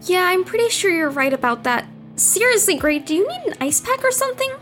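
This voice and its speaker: Woman as a very high-pitched, earnest girl. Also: sing-song, a little fast, fairly bright tone, soft background music, exaggerated voice. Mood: candid. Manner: hesitant, rambling. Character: earnest, rambling girl in a group lesson. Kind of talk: audio drama acting.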